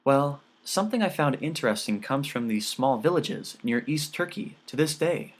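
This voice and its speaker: A man's voice: mid-range voice, lively intonation, moderate pace, voice pushed up, lightly acted. A man voicing a polite, earnest young daughter who is keen on her studies. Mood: earnest explaining. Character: polite, keen college-age daughter, earnest and bright-minded.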